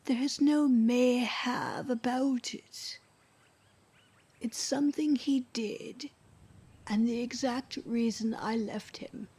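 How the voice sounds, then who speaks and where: A hushed, breathy, weary ex-wife. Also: female voice, on the high side, steady tone, heavily acted. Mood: guarded, blunt. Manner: slow, resigned, blunt. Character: weary, embittered, secluded older ex-wife. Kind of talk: scripted radio drama dialogue.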